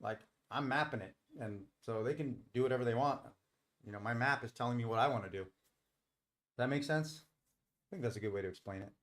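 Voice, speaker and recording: A man's voice: mid-range voice, a little fast, a bit bright. A middle-aged man hosting a live stream, talking casually and explaining things in a rambling, informal way. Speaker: live stream host and trader.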